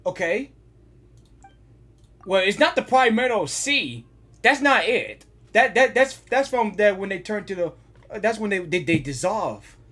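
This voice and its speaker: Excitable, husky woman reacting to a game. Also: medium pitch, a little slow, long pauses. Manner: excitable, thinking aloud, repeats herself. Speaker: gaming reaction host. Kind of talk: casual live reaction commentary.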